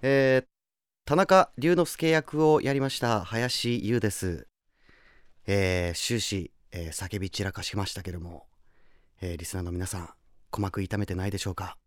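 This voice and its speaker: A man's voice: deep voice, moderate pace, no echo, clean studio sound. A man who works as a voice actor, giving a short, polite comment to listeners.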